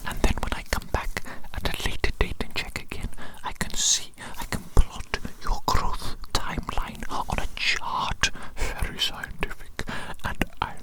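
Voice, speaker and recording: A performer voicing an eccentric evil doctor doting on a specimen in a whispered ASMR medical roleplay, breathy and given to repeating phrases. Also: a little slow, few pauses, natural voice, low-quality recording. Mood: intent, soothing.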